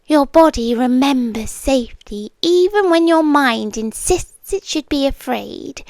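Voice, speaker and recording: A woman playing an ancient, possessive female monster in an ASMR roleplay, with a British accent and a hushed, teasing delivery that often drops into whispers. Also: high voice, no room sound, full character voice. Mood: seductive, intimate. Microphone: close microphone.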